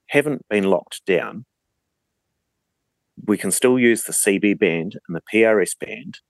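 A man speaks as an interview guest. He explains things in measured, deliberate phrases, and the sound is dry with hardly any room to it. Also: low voice, no room sound.

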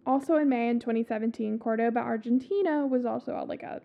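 Podcast co-host, woman, raspy sick voice, tinny phone-like sound, chatty and profane. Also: a little high, quick pace. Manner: swears a lot, stumbles over foreign names. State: sick, scratchy voice. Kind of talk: casual chat reading a story.

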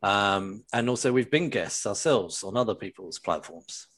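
Man, London accent, podcast co-host, low voice, casual and halting. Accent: London English. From England. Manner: relaxed, stammering restarts. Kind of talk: casual chat. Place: home, late at night. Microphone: remote call mic.

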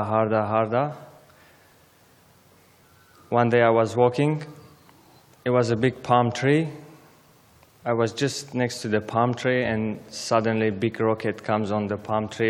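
A young man from Syria, telling his personal story in halting as a guest speaker in a church. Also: fairly low pitch, level tone. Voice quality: halting non-native English, simple phrasing. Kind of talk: personal testimony speech. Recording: long church reverb.

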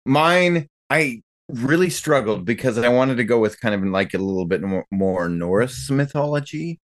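A man's voice: medium pitch, lively intonation, moderate pace. A man chatting casually as a podcast panelist, informal and earnest in manner. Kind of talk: casual chat.

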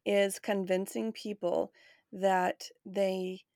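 A woman speaks with plenty of vocal fry in a dry, echo-free recording. She sounds like a podcast host in casual conversation, at an average pitch. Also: flat delivery, takes it slowly, a little mellow.

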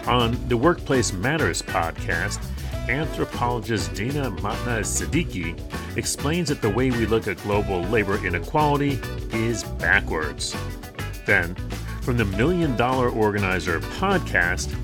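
A man hosting a labor radio show, reading the show's rundown in a brisk announcer delivery and then interviewing guests. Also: lowish voice, sing-song, music in the background. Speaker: radio and podcast host. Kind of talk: scripted show narration and interviewing.

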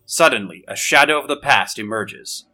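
A man's voice: mid-range voice, animated, normal speed, pushed and bright, soft background music. A man running a tabletop game as game master, explaining rules with dry, joking asides. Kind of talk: tabletop game chat and trailer narration.

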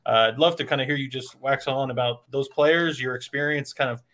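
A man's voice: mid-range voice, steady tone, brisk, fairly bright tone. A man co-hosting a sports talk show, asking long, winding, wordy questions. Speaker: podcast co-host.

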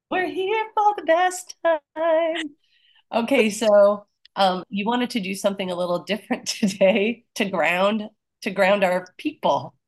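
A woman co-hosting a podcast while sick, her voice lower than usual, chatting casually and laughing often. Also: lively intonation, slow. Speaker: podcast co-host. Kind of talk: casual chat.

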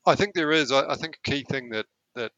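A male therapist answering an interview question in a thoughtful, measured way. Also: mid-range voice, sharp tone, dry recording, highs cut off. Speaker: therapist guest.

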